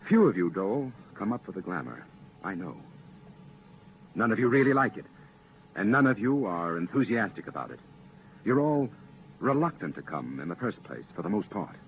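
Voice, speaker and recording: An actor playing an earnest, regretful but firm commission official in a 1950s radio drama, speaking deliberately and emphatically. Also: male voice, fairly low pitch, big pitch swings. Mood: earnest, insistent. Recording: muffled, noisy 1950s radio transcription.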